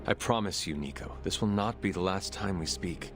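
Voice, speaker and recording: Man voicing a stoic hero, deep voice, formal, measured, music under. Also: lightly acted. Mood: calm. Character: formal stoic young male hero, calm leader. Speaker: player character hero. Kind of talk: video game cutscene.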